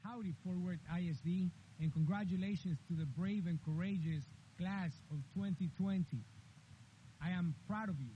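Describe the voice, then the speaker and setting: Earnest man, high mellow voice. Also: normal speed. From Honduras. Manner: earnest, repetitive emphatic phrasing. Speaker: commencement speaker. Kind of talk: graduation speech. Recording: dull lo-fi with faint hiss.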